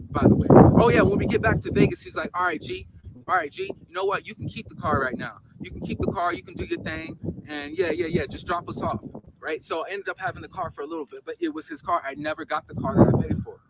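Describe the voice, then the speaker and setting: Man, gravelly, a bit high, earnest rambling storyteller, noisy recording. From Los Angeles. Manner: earnest, rambling retelling, voice jumps up when animated. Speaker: guest telling his story. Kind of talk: casual podcast storytelling.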